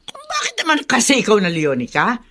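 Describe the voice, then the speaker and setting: Bossy old filipino mom in a radio drama, scolding, high sharp voice. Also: female voice, wildly swooping pitch, brisk, slight background noise, full character voice. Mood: reproachful. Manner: scolding, insistent. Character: domineering, reproachful elderly mother-in-law, nagging her grown son.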